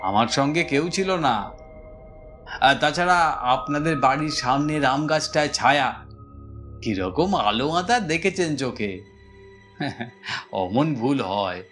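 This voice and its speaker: Casting: male narrator for a suspense tale, medium-pitched, dramatic first-person reading with clear music underneath. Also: lively intonation, takes it slowly, pauses often, fairly bright tone. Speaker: narrator of a suspense audio story. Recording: music behind the voice. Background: clear background music.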